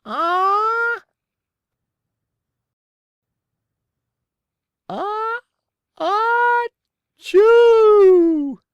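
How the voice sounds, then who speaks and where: A woman voicing a frantic little boy in a children's storybook, squawking and stammering in a squeaky, strained voice. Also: lively intonation, extremely slow, no echo, highs cut off, exaggerated voice. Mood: building sneeze. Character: frantic little boy trapped inside his own nose.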